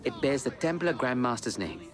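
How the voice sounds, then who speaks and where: An actor playing a calm, courteous young informant for Victorian assassins in a video game, speaking in a measured, earnest way. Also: male voice, mid-range voice, faint music behind, lightly acted. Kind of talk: video game cutscene acting.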